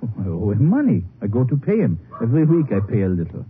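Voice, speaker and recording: An actor playing a big, stolid, grieving German immigrant with a German accent and halting, defensive broken English in a 1950s radio drama. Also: male voice, lowish voice, wildly swooping pitch. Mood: wary, defensive. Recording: muffled, noisy 1950s radio recording.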